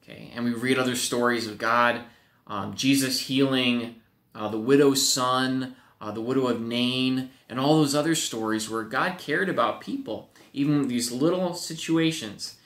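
A young man, a youth pastor, teaching a Bible lesson to children with animated storytelling and playful acted-out voices, speaking to a webcam in a home office. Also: medium pitch, moderate pace, reverberant. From Wisconsin, USA. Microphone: webcam at desk.

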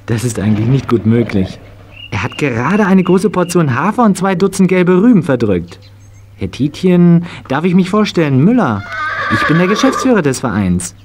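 An actor playing a polite, brisk manager with a courteous, formal manner in a children's radio play. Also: male voice, fairly high pitch, very animated, a little mellow, a little hiss or hum. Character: polite, brisk male animal-society manager.

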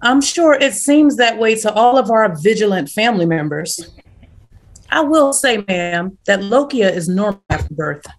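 A woman's voice: medium pitch, expressive, moderate pace, lightly acted. A woman playing an older nurse, matter-of-fact and placating, at a table read of a film script. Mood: calm, dismissive. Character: older female nurse, unruffled, dismissive, wryly patient.

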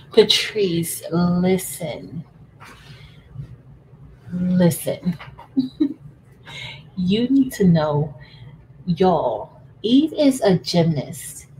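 A woman's voice: on the low side, big pitch swings, very slow, long pauses, a little mellow, a little hiss or hum, poor audio. A woman in her thirties hosting a casual craft livestream from home, chatting with viewers while she works. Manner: chatty, addresses viewers as y'all, frequent laughs. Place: indoors at a home craft table.

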